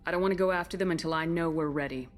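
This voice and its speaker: An actress playing a tough, skeptical military commander in a video game, blunt and commanding. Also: female voice, sits low, rapid-fire, close to an everyday voice. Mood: firm, determined. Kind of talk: dramatic video game dialogue.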